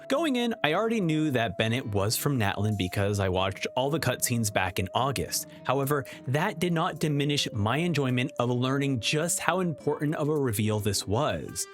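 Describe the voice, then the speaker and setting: A man giving enthusiastic video game review commentary in an effusive, emphatic manner. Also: mid-range voice.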